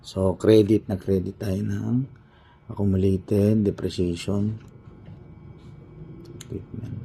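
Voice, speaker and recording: A man's voice: fairly low pitch, flat delivery, slow pace, creaky, dark tone, a little hiss or hum. A man with a Filipino accent teaching an informal accounting lesson, thinking aloud step by step and repeating himself as he works through an example.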